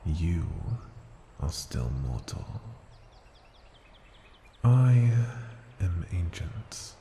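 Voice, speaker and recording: A man voicing a haughty, teasing ancient dragon in human form for an ASMR fantasy roleplay, gravelly and breathy, with condescending, seductive murmurs. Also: low voice, big pitch swings, warm tone, exaggerated voice. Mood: menacing, mocking. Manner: condescending, taunting, drawn-out phrases dipping into breathy murmurs. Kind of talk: ASMR fantasy roleplay, slow theatrical delivery. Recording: echoing reverberant hall, background ambient noise.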